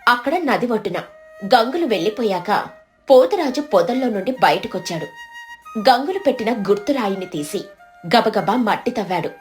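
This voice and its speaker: High-pitched woman narrating a story. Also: animated, normal speed, faint music behind. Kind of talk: scripted narration of a moral story.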